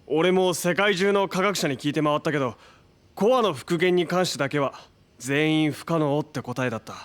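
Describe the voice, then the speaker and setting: A man playing a brash, casual young hero in an audio drama, with slangy, expressive delivery. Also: a little high, moderate pace, no room sound, close to an everyday voice. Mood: astonished. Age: young adult.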